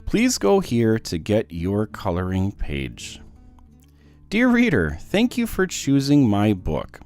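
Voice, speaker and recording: Guy doing a slow expressive kids book read aloud, low voice, music playing behind. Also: clean tone. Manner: animated, deliberate rhyming delivery for children. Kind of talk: expressive scripted storybook narration.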